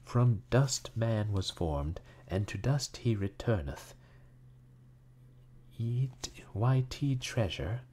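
A man voicing a brusque, excitable theatrical magnate in a storybook, terse and halting over old writing. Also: on the low side, slightly rough, voice pushed up, heavily acted. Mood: slow, halting reading.

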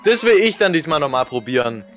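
A young man banters through a let's play with a friend. His pitch moves around a lot, ready to shoot upward under pressure. Game music plays faintly behind him. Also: big pitch swings, fast, fairly bright tone. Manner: voice climbs high when panicking. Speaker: let's player. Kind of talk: casual co-op gaming banter. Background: video game music.

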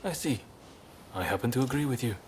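A male actor as a young samurai rebel leader from a video game, polite and earnest. His pitch moves in lively swings while his phrasing stays measured and composed, with some ambient noise behind him. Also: lightly acted. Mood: grave, thoughtful. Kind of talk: video game cutscene acting.

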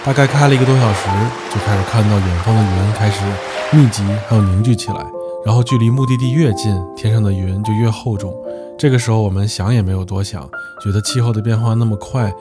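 A man narrating his travel vlog in a calm, explanatory way, giving practical tips. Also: fairly low pitch, fairly quick. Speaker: travel vlogger. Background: background music.

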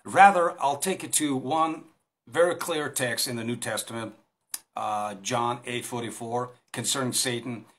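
A man's voice: mid-range voice, a little slow, fairly bright tone. Man with a Russian accent teaching. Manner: hesitant, many fillers and restarts, gestures while talking. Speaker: Bible teacher. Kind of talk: informal recorded Bible talk. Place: small indoor room against a plain wall. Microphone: phone camera at arm's length.

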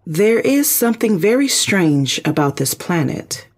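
Sci-fi radio play: ship computer played by an actor, formal, measured, literal, husky edge. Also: mid-range voice, sing-song. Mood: analytical, calm. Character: calm, precise spaceship computer intelligence. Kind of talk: audio drama, analytical reporting.

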